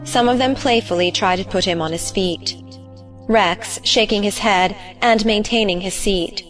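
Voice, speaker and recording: A woman reading stage directions as scripted narration, measured and matter-of-fact. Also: mid-range voice, soft background music.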